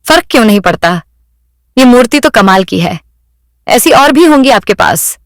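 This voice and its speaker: Woman playing a kind, higher-voiced lady sculptor. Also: expressive, normal speed, no echo, clean studio sound. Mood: encouraging. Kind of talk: cartoon moral story voice acting.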